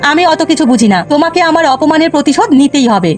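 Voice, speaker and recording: A woman's voice: sits high, lively intonation, fast, music in the background. A woman voicing an insistent, easily offended village wife in a cartoon. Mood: indignant, nagging. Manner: insistent, dramatic. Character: scolding, demanding village wife, quick to take offence. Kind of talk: Bengali cartoon voice acting.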